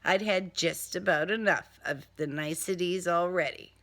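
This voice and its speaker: A woman narrating a first-person crime story in a deliberate, dramatic, sardonic manner. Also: on the low side, a bit bright. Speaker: author reading own crime novel.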